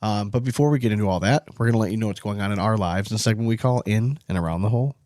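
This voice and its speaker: A man's voice: fairly low pitch, racing, very noisy. An American man co-hosting a podcast in casual, informal, salty-tongued chat. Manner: foul-mouthed, informal. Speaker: podcast host.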